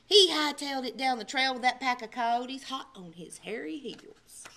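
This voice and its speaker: A woman narrating a children's storybook theatrically, with strong emphasis and hushed dramatic drops. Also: sits high, expressive, moderate pace. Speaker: librarian storyteller.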